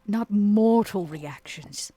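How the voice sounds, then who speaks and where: Female player, slow and measured, animated pitch, dry sound. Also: no echo. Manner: measured, probing questions. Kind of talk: in-character roleplay.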